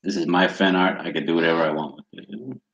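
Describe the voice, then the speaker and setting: A man hosting a casual livestream while drawing fan art, joking and bantering, his voice slightly raspy from a cold. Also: low voice, fast, highs cut off. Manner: joking, mock-offended banter.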